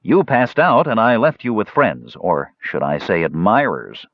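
A man's voice: lowish voice, wildly swooping pitch, a little slow, dry recording, heavily acted. Guy doing a serious half-elf man, short stern answers, clean voice, bit muffled recording. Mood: curt. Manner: terse, serious. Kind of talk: audiobook character voice.

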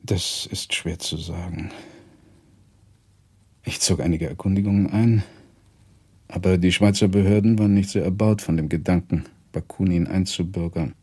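An actor playing a blunt, proud émigré professor in a German radio play, deliberate and dryly reproachful. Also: male voice, deep voice, fairly even intonation, a little mellow, breathy edge. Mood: measured.

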